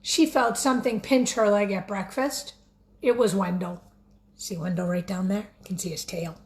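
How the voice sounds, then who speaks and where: A woman teacher reading a picture book aloud expressively, pausing to put questions directly to young listeners. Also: medium pitch, slight background noise. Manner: expressive, addresses children directly.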